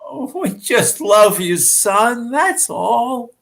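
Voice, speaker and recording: A woman's voice: on the high side, lively intonation, drawn-out words, vocal fry, a bit bright, exaggerated voice. A woman playing a needy, weepy, easily hurt ageing father in an amateur staged play reading, with a plaintive, wounded delivery.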